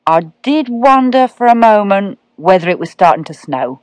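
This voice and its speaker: A woman's voice: medium pitch, very animated, no room sound, voice pushed up, close to an everyday voice. A woman doing Orion, a drawling kindly cat. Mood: gentle. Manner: drawling, gentle and unhurried. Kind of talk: storybook reading.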